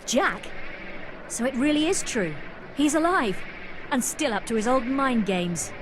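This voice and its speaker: A woman's voice: high voice, animated, a little slow, background noise. A woman playing a wry, self-assured adventurer who advises a hero in a dry, matter-of-fact way. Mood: grave concern.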